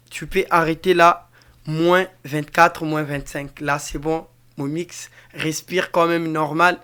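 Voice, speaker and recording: A man with a Congolese French accent giving an informal music mixing tutorial in a halting, repetitive way, addressing viewers directly. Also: on the high side, fairly bright tone, smooth. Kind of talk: informal tutorial with on-the-spot demonstration.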